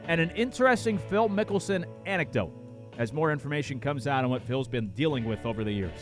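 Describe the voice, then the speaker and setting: A male sports radio host rambles casually through his takes, filling pauses with little hesitations, his voice going strained and worn. He sits at a studio microphone, mid-pitched and conversational, with faint music bedded underneath. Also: expressive. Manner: casual, rambling, many fillers. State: losing his voice. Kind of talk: sports talk radio chat.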